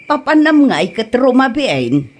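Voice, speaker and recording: A woman's voice: fairly high pitch, very animated, a little slow, slight background noise. A woman with an Ilocano accent playing a nosy village woman, questioning and teasing, in a comedy audio drama. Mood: teasing, curious.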